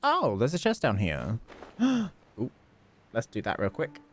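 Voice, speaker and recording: A man's voice: mid-range voice, huge pitch swings, takes it slowly. A man giving casual live commentary on a game as he plays, musing aloud as he works things out. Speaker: game livestreamer. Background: game music.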